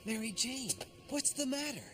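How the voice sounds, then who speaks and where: An actor playing an earnest, protective young hero in an animated series, with a concerned manner. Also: male voice, extremely high pitch, normal speed. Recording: music under the voice.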